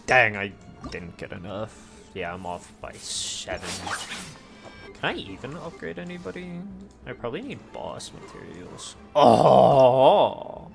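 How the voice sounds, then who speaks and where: Male game streamer, unhurried delivery, casual self-talk that slips between outbursts and mumbling, faint music behind. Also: medium pitch, big pitch swings, gravelly. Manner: self-talking, drifts between exclamations and muttering. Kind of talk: casual gameplay commentary, muttering to self.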